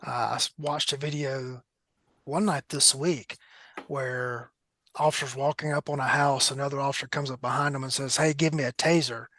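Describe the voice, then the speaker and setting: A man's voice: medium pitch, fairly even intonation, moderate pace, a bit bright, no room sound. Man with a dry, close-sounding voice. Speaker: panel host, firearms trainer. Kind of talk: panel discussion.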